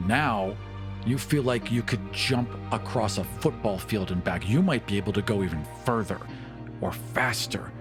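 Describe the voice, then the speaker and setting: A man's voice: fairly low pitch, moderate pace. Man narrating dramatically over music. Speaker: game master. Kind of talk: dramatic tabletop game narration. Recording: music bed behind the voice.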